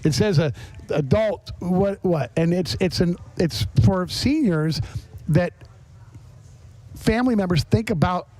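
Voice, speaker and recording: A man's voice: high voice, unhurried, darkish tone. A middle-aged American man from Florida hosting a casual interview, telling anecdotes in an emphatic way. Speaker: podcast host. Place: on location at a garage.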